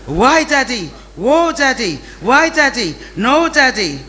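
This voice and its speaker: A man's voice: extremely high pitch, sing-song, slow pace, sharp tone, long reverb tail, pitched above the performer's own voice, full character voice. A man voicing a wailing child who pleads with a parent in a rhythmic sing-song, in a storybook reading. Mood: wailing, pleading.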